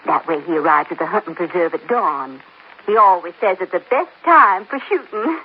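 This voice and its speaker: A woman's voice: lowish voice, lively intonation, normal speed, natural voice. An actress playing a genteel, middle-aged society mother in a 1950s radio drama, refined and polite but flustered and stammering. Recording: dull old radio recording with faint hiss.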